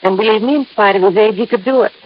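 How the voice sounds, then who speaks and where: A muffled vintage radio play recording of an actress playing a spirited young American woman. She is earnest and coy, with a husky edge to her dramatic, anxious delivery. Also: medium pitch, racing, natural voice. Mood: grave, earnest. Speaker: actress in a radio detective series.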